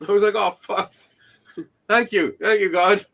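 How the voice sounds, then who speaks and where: A man's voice: high-pitched, steady tone, unhurried, vocal fry, a bit bright. Crude, teasing man in his forties. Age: 40s. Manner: crude, teasing, swears freely. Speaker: panel regular. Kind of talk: casual banter on a live stream.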